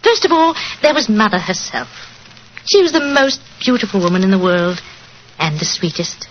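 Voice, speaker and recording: A woman's voice: medium pitch, very animated, normal speed, natural voice. An actress playing a sweet, nervous English lady in a 1940s radio drama, polite, modest and a little fluttery. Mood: fond reminiscence. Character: demure, nervous, sweet English lady reminiscing about childhood. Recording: muffled, hissy 1940s radio recording.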